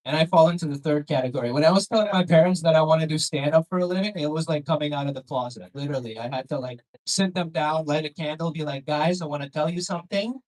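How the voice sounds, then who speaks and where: A man's voice: fairly high pitch, fast, hardly any pauses, darkish tone, rough recording. A Canadian man performing stand-up in a comedy club, rambling and conversational, with sardonic asides, swearing and crowd work.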